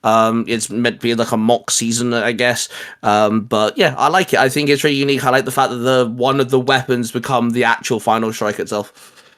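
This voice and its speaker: A man's voice: medium pitch, steady tone, a little fast, fairly bright tone. A man co-hosting a fan discussion show, talking casually and enthusiastically about geeky favourites in a loose, hedging way. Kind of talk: casual geek chat, ranking discussion.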